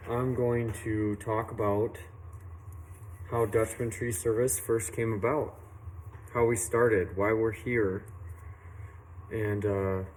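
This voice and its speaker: A young man from western Illinois who owns a tree service tells how he started, in an unhurried, earnest way with many fillers and restarts. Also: on the low side, slight background noise. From western Illinois, USA. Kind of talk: casual personal story to camera. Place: outdoors at a work site, doing saw maintenance.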